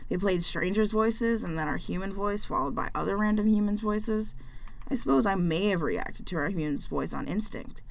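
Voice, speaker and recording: A woman's voice: medium pitch, normal speed, vocal fry, slight background noise, close to an everyday voice. Woman voicing a sassy, aloof cartoon cat. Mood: matter-of-fact, grudging. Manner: dry, dismissive, sassy. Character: aloof, sarcastic pet cat, proudly independent and dismissive. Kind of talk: conversational animated dialogue.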